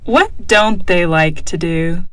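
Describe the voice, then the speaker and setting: A woman reading short lesson phrases slowly and clearly, as if for repetition. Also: on the low side, a bit bright, dry recording. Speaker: dialogue reader. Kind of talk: slow, drilled language-lesson phrases.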